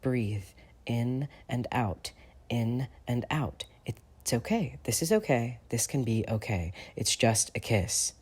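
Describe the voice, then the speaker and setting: A man voicing an exuberant teenage boy wizard who teases with an expressive delivery. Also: fairly high pitch, normal speed, hardly any pauses, voice pushed up, close to an everyday voice. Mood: shaken. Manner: teasing, expressive.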